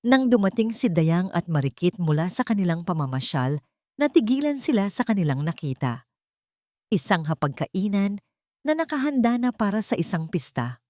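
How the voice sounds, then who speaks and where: A woman reading a scripted dramatic story in measured, suspenseful phrases. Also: medium pitch, very animated, darkish tone, dry recording, clean studio sound.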